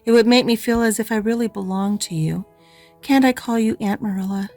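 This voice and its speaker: A woman's voice: mid-range voice, a little fast, darkish tone, soft background music, lightly acted. A woman voicing an eager, imaginative and talkative orphan girl, pleading and effusive, in an audiobook reading.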